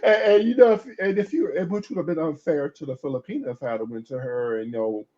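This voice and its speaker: A man's voice: on the high side, fast. A man in his fifties talking casually on a podcast, rambling with many restarts and repeated "I mean"s. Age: 50s. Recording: dull lo-fi audio.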